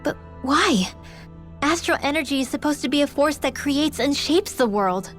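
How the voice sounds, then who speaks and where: A woman's voice: high-pitched, lively intonation, moderate pace, soft background music. A woman playing an earnest, sensitive, curious teenage mage girl in a video game, sounding wondering and concerned. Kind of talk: video game cutscene acting.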